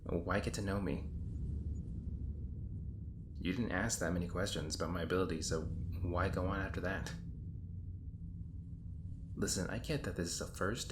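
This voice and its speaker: In a roomy space over noisy ambience, a man acts out a sarcastic, exasperated hero in a roleplay drama. His low voice rambles in casual, modern phrasing, like someone arguing with an enemy he knows well. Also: close to an everyday voice. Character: exasperated, sarcastic young hero stuck in time loops. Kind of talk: audio roleplay acting, conversational monologue. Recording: roomy echo, clear background noise. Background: designed ambience.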